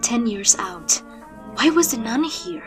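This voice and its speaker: Wry, high-voiced clever heroine. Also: female voice, animated, takes it slowly, music in the background. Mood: brisk, wry. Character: wry, clever, quietly confident young woman adventurer. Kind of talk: audio drama acting.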